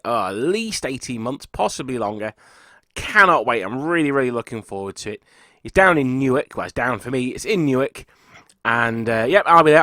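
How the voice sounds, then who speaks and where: A man, husky and congested from a cold, chatting casually as host of a wargaming channel while talking through slides. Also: medium pitch, a bit bright, no echo, clean studio sound. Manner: chatty, rambling, self-correcting. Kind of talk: casual talk over slides.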